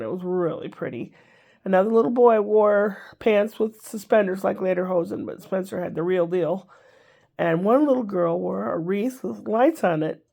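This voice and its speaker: Older woman vlogger, congested, gravelly, rambling casual chat. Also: fairly low pitch, mellow. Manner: rambling, chatty, wry asides.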